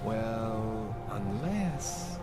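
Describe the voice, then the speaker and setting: Animated, sly male tech tinkerer. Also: on the low side, very slow, over music. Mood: uneasy, coaxing. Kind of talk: video game cutscene acting.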